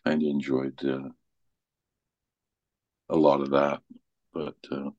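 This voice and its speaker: A middle-aged man with a strikingly low voice talks in a loose, folksy way. He rambles casually as a presenter in informal chat. The sound is dry, with little room to it. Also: flat delivery, slow pace, a little mellow, no echo, dull audio. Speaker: radio show presenter.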